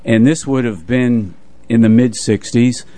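An older man reminiscing in casual radio chat as a co-host, with a measured, halting delivery. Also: medium pitch, mellow, no echo. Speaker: radio co-host and music presenter.

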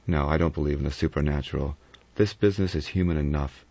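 A man voicing a cool, sardonic adventurer in an audiobook, with an unhurried, wry manner. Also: a bass voice, a little mellow, no room sound. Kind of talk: audiobook character acting. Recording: slightly dull, dry recording.

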